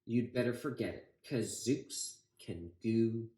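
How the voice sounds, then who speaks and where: Storybook rival: belligerent old warrior creature, acted by a male reader, yelled threats, raised pitch, roomy echo. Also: takes it slowly, natural voice. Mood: defiant. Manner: yelling threats. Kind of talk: storybook read-aloud. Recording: echoey room.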